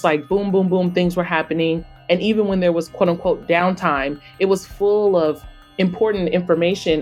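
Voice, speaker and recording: A woman's voice: fairly low pitch, a little mellow. A woman chatting casually as a podcast guest, explaining things in a rambling, emphatic way. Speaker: podcast guest, author and publisher. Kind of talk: casual interview chat.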